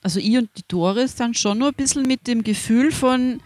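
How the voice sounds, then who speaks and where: A woman's voice: fairly high pitch, a little fast, a little mellow. A woman with an Austrian German accent, co-hosting a podcast outdoors by a river, chatting with enthusiasm and frequent interjections. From Waldviertel, Austria. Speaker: podcast co-host. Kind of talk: casual interview chat. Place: outdoors by a river at a music festival.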